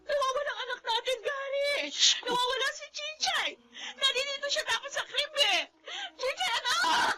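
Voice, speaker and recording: A woman's voice: very high-pitched, pushed and bright, heavily acted. An actress playing a grieving young mother in denial, in an emotional, pleading radio melodrama style. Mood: frantic denial. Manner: emotional, wailing, pleading delivery. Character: grieving young mother, desperate, in denial over her child's death. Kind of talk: Filipino radio melodrama acting.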